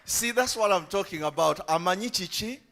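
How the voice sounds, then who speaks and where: A man's voice: high voice, animated, fairly quick, creaky, a bit bright, a little hiss or hum. A man hosting a church event, passing the mic around and teasing the crowd in a jovial way.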